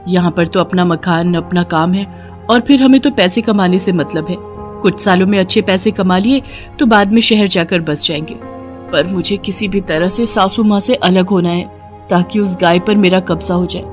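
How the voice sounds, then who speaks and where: A woman's voice: lowish voice, moderate pace, faint music behind, lightly acted. A woman voicing a scheming, complaining young city-bred daughter-in-law, whiny and demanding, in a storybook tale.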